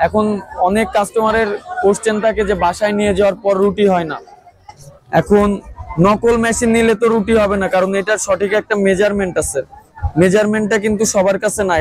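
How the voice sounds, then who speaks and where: Bangladeshi company guy doing a sales pitch, pretty high voice, dry sound, casual wording. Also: dry recording, poor audio. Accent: colloquial Bangladeshi. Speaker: company HR and admin representative. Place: trade fair stall.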